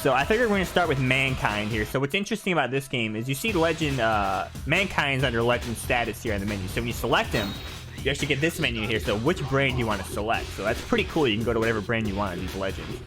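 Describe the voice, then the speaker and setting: A man's voice: medium pitch, animated, fast talker, music in the background. A man giving casual commentary as host of a video game playthrough. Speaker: gaming host.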